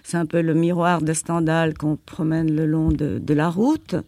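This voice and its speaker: A woman's voice: sits low, fairly even intonation, a little slow, vocal fry, dark tone, dry recording. An older woman, not a native French speaker, answering interview questions in a reflective, hesitant way with many fillers and repeated words. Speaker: interviewee, former journalist.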